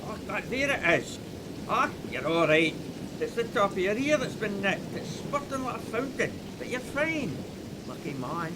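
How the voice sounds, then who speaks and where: An actor playing an excitable front-line soldier in an audio drama. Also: male voice, sits high, moderate pace. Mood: amazed, relieved. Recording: very noisy battlefield effects.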